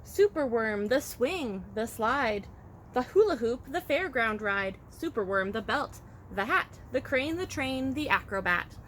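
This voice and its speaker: Higher-voiced woman reading a children's storybook. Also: a little slow. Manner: expressive, rhythmic rhyming delivery. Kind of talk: children's storybook read-aloud. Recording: faint background noise.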